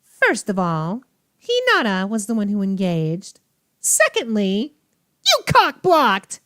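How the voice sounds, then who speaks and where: A woman's voice: medium pitch, huge pitch swings, slow, a bit bright, no room sound, studio quality. A woman doing playful, theatrical commentary on a game as a streamer, with a teasing, sassy manner. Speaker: streamer reacting to visual novels. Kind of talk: playful gaming commentary with dialogue read aloud.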